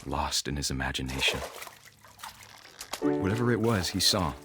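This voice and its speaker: A man's voice: extremely low pitch, expressive, moderate pace. An actor playing an earnest middle-aged American father in a video game, encouraging but firm. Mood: wistful, tender. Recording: music behind the voice.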